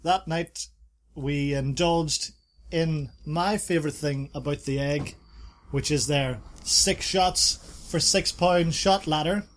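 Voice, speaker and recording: A man's voice: fairly high pitch, takes it slowly. A man co-hosting a casual podcast, chatting about bars and reading reviews aloud with playful asides. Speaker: podcast co-host.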